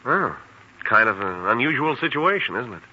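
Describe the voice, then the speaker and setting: An actor playing a curious, friendly hospital intern in a 1940s radio drama, on a muffled, hissy old recording. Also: male voice, mid-range voice, big pitch swings, normal speed, sharp tone. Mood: curious, casual.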